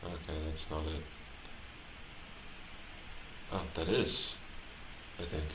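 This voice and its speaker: A man thinking aloud as he live-streams his programming work, talking casually and haltingly, muttering to himself as he goes. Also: rumbling low, steady tone. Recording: roomy echo, clear background noise, typing.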